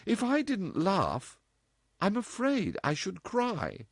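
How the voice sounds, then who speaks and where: A man puts on a mischievous young woman's voice, pitched well above his own and bouncing with cheek. She is impudent and playful, delivered in a dry, close recording. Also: huge pitch swings, slow, dry recording, exaggerated voice. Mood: wistful.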